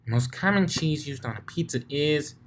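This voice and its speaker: A man's voice: a little high, expressive, normal speed, pitched above the performer's own voice, lightly acted. A man voicing a bookish, easily exasperated female unicorn in a storybook reading, explaining things huffily.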